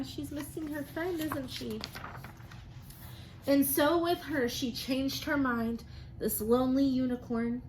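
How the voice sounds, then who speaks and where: A woman's voice: sits high, slight background noise, rough recording. A woman, an elementary teacher reading and chatting to young children, often asking them questions and affirming their answers. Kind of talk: children's read-aloud and drawing lesson.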